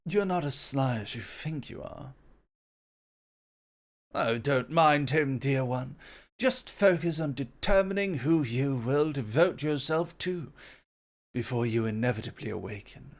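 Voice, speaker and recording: A man voicing a proud, composed god of creation in an ASMR roleplay, speaking in a measured, formal, self-assured way. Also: mid-range voice, expressive. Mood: dry, confident.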